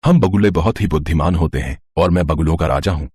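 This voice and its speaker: A man voicing a proud, clever heron prince who boasts in a deep, husky voice, recorded dry. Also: quick pace, darkish tone, no room sound. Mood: boastful. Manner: boastful, self-assured. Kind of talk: animated storybook voice acting.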